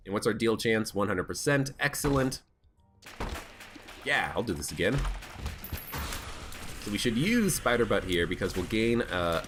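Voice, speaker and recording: A man with a stuffed-up, hoarse voice, chatting casually as he plays a video game. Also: fairly low pitch, fairly bright tone. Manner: chatty, thinking aloud while playing. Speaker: gaming channel host. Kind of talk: casual let's play commentary. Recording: background game music.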